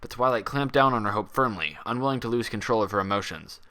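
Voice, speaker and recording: A man's voice: lowish voice, fast, pushed and bright. A man narrating a scripted fan fiction story in a dramatic reading style.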